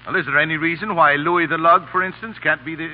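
Man playing fast-talking genteel scheming judge. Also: fairly high pitch, fairly even intonation, lightly acted. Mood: suave. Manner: formal, florid phrasing. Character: smooth scheming male judge, genteel and wordy. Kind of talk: 1930s radio acting. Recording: tinny 1930s radio broadcast.

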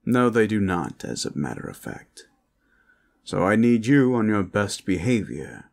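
A man playing a weary, serious and cautious protector in an audio roleplay, speaking in a measured, earnest way. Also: on the low side, very animated, gravelly, heavily acted. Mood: stern, weary.

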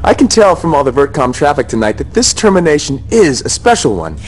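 A male propaganda news anchor, smooth and lively in pitch. He reads the stories with broadcast polish. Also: brisk. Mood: newsy. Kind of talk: newscast acting.

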